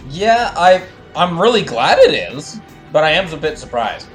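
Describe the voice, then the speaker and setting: A man's voice: fairly high pitch, very animated, normal speed. A man chatting casually on a gaming livestream, rambling and repeating phrases as he thinks aloud. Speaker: streamer doing live fandub of a game and reading chat. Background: game music.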